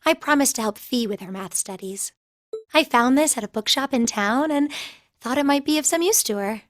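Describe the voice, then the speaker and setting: A woman's voice: high voice, lively intonation, normal speed, dry recording, clean studio sound. An actress playing a shy, kindly teenage girl student in a video game, polite and hesitant. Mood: gentle. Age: young adult. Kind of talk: English anime-style game voice acting.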